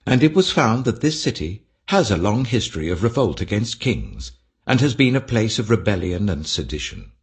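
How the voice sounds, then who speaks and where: A man's voice: lowish voice, sing-song, moderate pace, voice pushed up. A British-accented man voicing a stern, commanding king dictating a formal decree in a scripture audiobook. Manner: imperious, formal.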